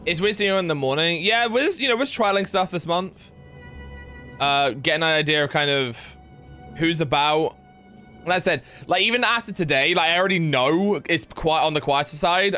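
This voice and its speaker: Bright-voiced man streaming a game. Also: a little high. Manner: rambling, filler-heavy, reads chat aloud, yelps with excitement. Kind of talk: casual live-stream gameplay chat.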